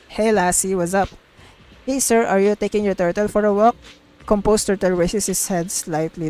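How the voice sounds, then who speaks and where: A woman's voice: fairly low pitch, a little mellow, poor audio. A woman streaming a story game, casually reading the dialogue aloud and reacting with giggly, sweary exclamations. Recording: music playing behind the voice.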